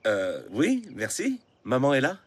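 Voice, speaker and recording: A man voicing a calm, decisive father in a dubbed cartoon, speaking in a measured, matter-of-fact way. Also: mid-range voice, breathy edge, dry recording, heavily acted. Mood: puzzled. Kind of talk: cartoon dubbing. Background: music.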